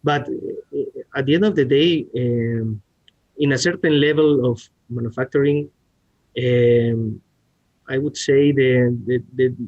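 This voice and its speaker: A man's voice: medium pitch, no room sound. Spanish accent guy doing tech talk, keeps restarting words, dry kinda dull sound. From Spain. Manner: halting, searching for words with repeated starts. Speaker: R&D director, podcast guest. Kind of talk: technical presentation and discussion.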